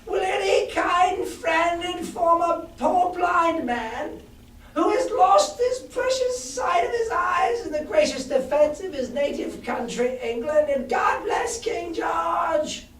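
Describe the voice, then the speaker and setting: A man voicing a sinister old blind beggar who whines in a high sing-song, with a cold cruelty underneath, heard from a distance in an echoey room. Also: takes it slowly, a bit bright, shouting, full character voice. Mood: whining.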